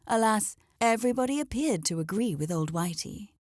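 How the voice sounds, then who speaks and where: A woman reads a children's story aloud as its narrator. Her pitch sits in the middle range, and the recording is dry and free of echo. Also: expressive, fairly quick.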